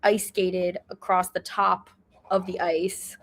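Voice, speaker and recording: A woman's voice: on the low side, flat delivery, slow pace, a little hiss or hum. A woman co-hosting a sports podcast, chatting casually in a conversational, articulate way. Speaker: podcast co-host.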